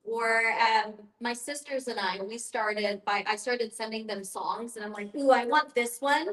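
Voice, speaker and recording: A woman's voice: on the high side, unhurried, fairly bright tone. A woman facilitating an informal group discussion, explaining things in a reassuring way. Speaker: guest facilitator and end-of-life educator. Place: echoey room with a group. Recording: echoey room.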